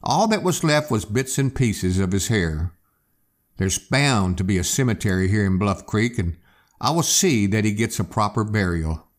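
A man voicing a plain-spoken adult man who is a blunt, steady friend. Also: fairly low pitch, moderate pace, voice pushed down, lightly acted. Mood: solemn.

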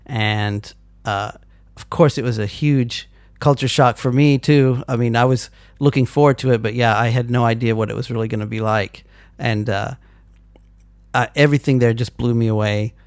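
A man in his forties leads the conversation in a friendly, easygoing way, pausing to recall old memories. His voice has an ordinary middle pitch. The sound is dry and close, with no room echo. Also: steady tone. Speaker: interviewer. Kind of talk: casual conversation.

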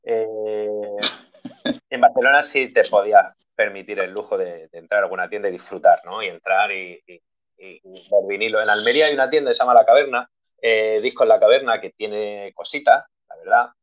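A man's voice: lowish voice, normal speed. A man from Spain, a guitarist in a punk band, chatting casually and swearing freely in an interview. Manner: relaxed, swears freely, jokey. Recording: dull lo-fi recording.

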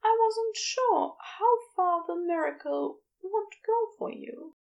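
A woman voicing a gentle, earnest, bashful man with tender, hesitant phrasing in an audiobook. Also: very high voice, lively intonation, takes it slowly, creaky, dull audio, pitched above the performer's own voice, full character voice. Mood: tender, relieved. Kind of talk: audiobook character dialogue.

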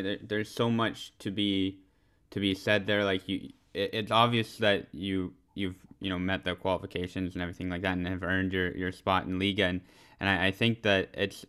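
A man's voice: deep voice, clear, resonant tone. A man co-hosting a podcast, talking casually and loosely with lots of "kinda" and "you know". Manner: relaxed, hedging with "kinda" and "you know", repeats words. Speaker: podcast co-host.

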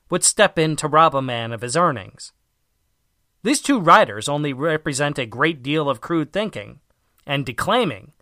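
Bright, forceful man reading an essay aloud. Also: on the high side, dry recording, studio quality. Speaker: audiobook reader. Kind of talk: scripted narration of an essay.

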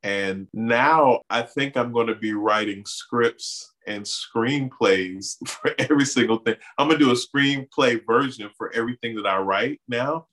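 A man's voice: mid-range voice, low-quality recording. A man from the Bahamas raised in Miami, a guest in an interview, telling stories with lots of "you know" fillers. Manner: animated storyteller, many "you know" fillers. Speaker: artist and community organizer guest. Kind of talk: interview conversation.

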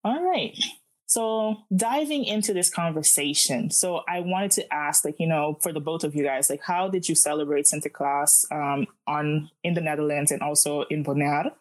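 A woman's voice: sits low, normal speed. A young woman from Aruba hosting a casual student podcast, chatty and friendly with many fillers. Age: young adult. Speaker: podcast host.

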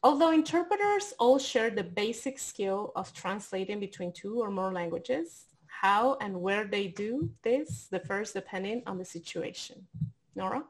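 A woman's voice: medium pitch, animated, unhurried, poor audio. A woman co-hosting an interview podcast, reading an opening and welcoming and questioning a guest directly. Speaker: podcast co-host.

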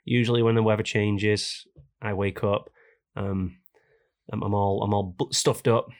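A man hosting a casual podcast, hoarse and raspy from a cold, ranting jokily about things that annoy him. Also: fairly low pitch, level tone, unhurried. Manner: jokey, complaining rants. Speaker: podcast host.

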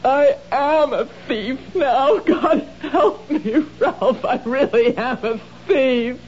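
Frightened guilty housewife in a vintage radio mystery, muffled old recording with hiss. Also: female voice, high voice, slow. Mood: distraught. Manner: distraught, pleading. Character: anguished middle-aged wife, reformed kleptomaniac. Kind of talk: 1970s radio drama acting.

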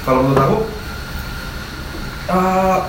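A man and musician in a casual interview chat, talking quickly, bluntly and animatedly. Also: high voice. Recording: very echoey, distant mic, noisy.

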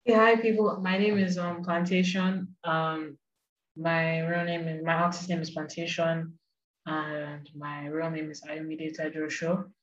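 Low-voiced woman on a distant echoey call. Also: little pitch movement, a little slow, lo-fi sound, a little hiss or hum. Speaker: guest, contemporary photographer. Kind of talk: self-introduction on a podcast.

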